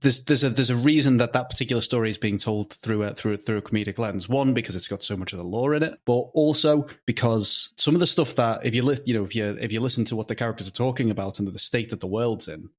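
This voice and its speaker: Male writer in interview: medium pitch, reflective, stop-start conversational delivery. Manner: halting, thoughtful, often chuckling.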